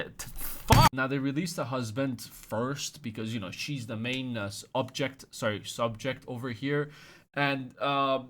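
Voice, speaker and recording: A young man gives comedic commentary, mocking his subject with sudden loud outbursts. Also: mid-range voice, a little hiss or hum. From Iran. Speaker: commentary host.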